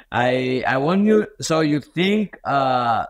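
A man from Europe interviewing a guest, speaking haltingly with many fillers. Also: medium pitch, expressive. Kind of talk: casual interview talk.

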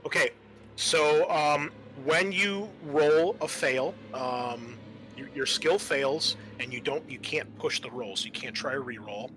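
Male game master, casual and sweary, forceful bright tone, music underneath. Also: mid-range voice, unhurried, low-quality recording. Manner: casual, profane, explanatory. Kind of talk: improvised narration of a tabletop horror roleplaying game.

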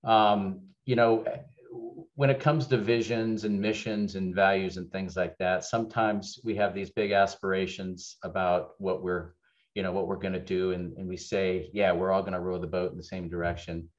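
Deep-voiced man on a dull video call. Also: level tone, moderate pace. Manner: conversational, candid, many fillers and restarts. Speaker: keynote speaker, legal executive. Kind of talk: webinar keynote presentation. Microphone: webcam on video call.

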